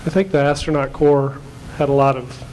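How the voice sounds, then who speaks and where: A man's voice: medium pitch, steady tone, brisk, darkish tone, a little hiss or hum, low-quality recording. A man in the audience politely asking a panel a long question with many hesitations, in a large auditorium.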